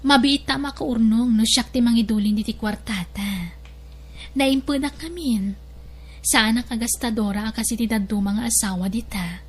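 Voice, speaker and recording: Woman acting a high-voiced, coaxing drama woman. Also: moderate pace, husky. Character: money-minded women of a family drama, demanding and coaxing. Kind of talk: radio-drama style acted dialogue.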